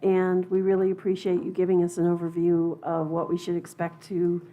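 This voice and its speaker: A woman's voice: on the low side, completely flat delivery, normal speed, warm tone, highs cut off. Woman chairing a village board meeting. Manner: polite and procedural. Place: meeting room.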